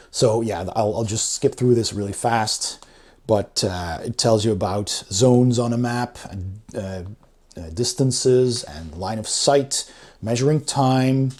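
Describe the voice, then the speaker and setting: A man's voice: on the low side, darkish tone. A man giving a casual, conversational review, explaining things step by step as a host. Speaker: board game reviewer and channel host.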